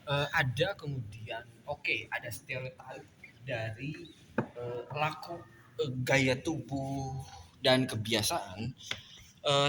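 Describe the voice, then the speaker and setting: A man on a discussion panel who builds his point slowly with many pauses and fillers. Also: medium pitch, noisy background. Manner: halting, many filler sounds, building an argument.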